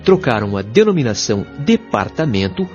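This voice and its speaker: A Brazilian man playing a kindly, patient spirit guide in an audio drama, explaining things in a measured, didactic, carefully enunciated way. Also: mid-range voice, big pitch swings, darkish tone, natural voice. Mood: explanatory. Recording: dull lo-fi audio, music behind.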